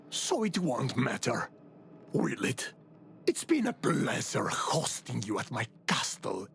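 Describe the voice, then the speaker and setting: Deep, creaky-voiced vampire noblewoman. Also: slight background noise, heavily acted. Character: blood-feeding vampire castle lady, coolly seductive, sly. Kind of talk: fantasy game dialogue acting.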